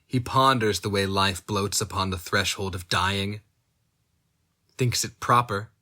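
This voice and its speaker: Slow, intense male dramatic reader. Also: sharp tone. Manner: slow, intense delivery with sudden high, strained outcries. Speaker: narrator. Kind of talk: dramatic reading of dark fantasy fiction.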